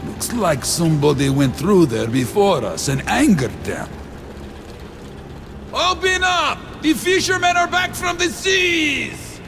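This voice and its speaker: Slow, gravelly game fisherman. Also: sits high, animated, music in the background, exaggerated voice. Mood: wry, relieved. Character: cheerful joking Russian fisherman and raftsman, world-weary. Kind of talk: video game voice acting.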